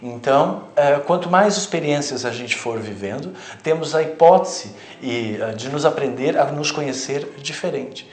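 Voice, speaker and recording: A man's voice: mid-range voice, expressive, normal speed, hardly any pauses. A Brazilian man with a mid-range voice explains spiritual concepts as a studio guest. He speaks reflectively, often tagging his sentences with "né". The room has a noticeable echo. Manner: reflective, explanatory, frequent "né" tags. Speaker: therapist, guest. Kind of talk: spiritual interview talk.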